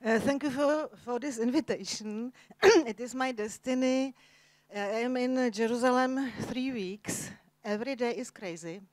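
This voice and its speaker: Czech-accented woman in halting English. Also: a little high, a little mellow, no room sound. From Czech Republic. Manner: halting basic English, self-deprecating. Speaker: guest author. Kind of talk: onstage literary conversation. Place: cultural center event hall, Jerusalem.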